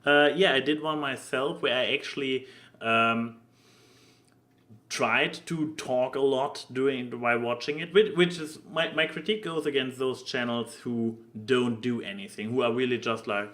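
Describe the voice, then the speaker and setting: A man hosting a casual podcast discussion, talking haltingly and often stammering or repeating words as he goes. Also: mid-range voice, fairly bright tone. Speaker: podcast host.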